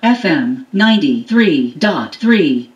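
Slow synthetic woman's voice, dull and distant. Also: low voice, sing-song, loud music behind the voice. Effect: played through radio speakers. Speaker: automated voice announcer of a car radio. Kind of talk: clipped spoken device prompts. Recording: dull, echoey speaker playback from afar.

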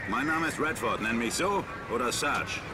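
An actor playing a gruff, weary, seasoned army sergeant who talks bluntly and gives commands. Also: male voice, mid-range voice, animated, moderate pace, close to an everyday voice. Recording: loud vehicle and outdoor noise.

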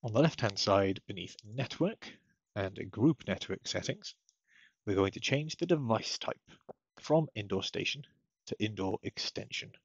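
Low, husky man giving a tutorial. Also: animated, unhurried, no echo, muffled recording. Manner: methodical, explanatory. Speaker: technical support tutorial presenter. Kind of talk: step-by-step software tutorial narration.

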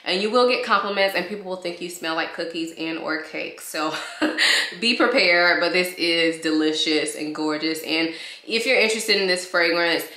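A woman in her thirties, a vlogger talking to camera at home in a chatty, enthusiastic way, still slightly hoarse from a cold. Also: on the low side, bright, forceful tone, roomy sound. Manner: chatty, enthusiastic, repeats praise. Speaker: beauty vlogger. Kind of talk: casual review to camera. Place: living room at home. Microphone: camera in front, waist-up framing.

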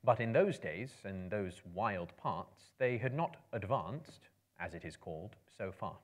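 A man in his thirties giving a dramatic book reading, with theatrical, measured, emphatic phrasing. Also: on the low side, expressive. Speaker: storyteller. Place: room lined with books, indoors.

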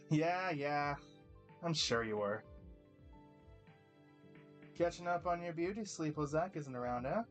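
A man reading as a cocky older college guy who ribs his younger friend without letup, his voice pushed up high and bright. He talks in a brash, playful way, with faint music under him. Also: animated, measured pace, pauses often. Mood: teasing. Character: teasing older male college buddy.

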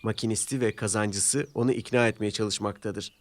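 A man's voice: lowish voice, steady tone, normal speed, slight background noise. A man reading scripted narration for a children's story. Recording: music behind the voice.

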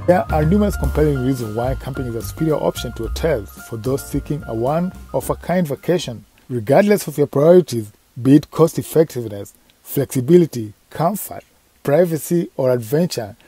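A man with a husky voice reading a scripted voice-over for a travel vlog. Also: medium pitch, lively intonation, moderate pace, dark tone, no room sound. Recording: dry voice-over over music.

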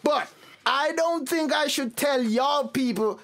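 A man's voice: very high voice, slow pace, fairly bright tone, heavily acted. An actor playing a wheedling, defensive male cousin in a radio drama, cajoling his relatives. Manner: cajoling, ending phrases with "man".

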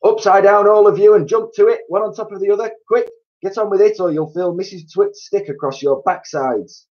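A spiteful old man screams threats and barks orders in a high, strained voice, put on by a man reading aloud. The delivery is loud and forceful. The recording sounds dull and lo-fi. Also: a little fast, natural voice. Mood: barking orders. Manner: shouting, barking orders. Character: nasty old man, bossy and cruel.

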